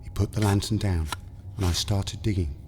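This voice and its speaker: A man's voice: low-pitched, steady tone, normal speed, very noisy. A man with a British accent narrating a scripted horror story in the first person.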